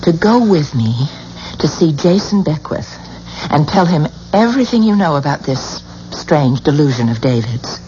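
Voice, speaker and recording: Proud, disbelieving wife, low register, very animated, emphatic delivery, muffled seventies radio recording. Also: female voice, normal speed. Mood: contrite, determined. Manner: theatrical, emphatic, indignant. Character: proud, disbelieving wife fiercely defending her husband. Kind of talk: 1970s radio drama acting. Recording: dull, noisy 1970s radio recording.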